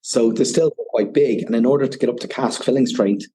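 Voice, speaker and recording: A man's voice: mid-range voice, quick pace, warm tone, a little hiss or hum. A man with an Irish accent, a whiskey ambassador guest on a podcast, explaining at length and often saying "you know". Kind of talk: casual podcast interview.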